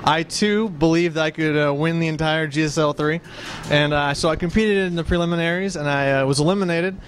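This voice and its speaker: A man's voice: on the high side, steady tone, fairly quick, a little hiss or hum. A young man from Canada, an easygoing guest at a gamer roundtable, speaking into a handheld mic. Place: small restaurant.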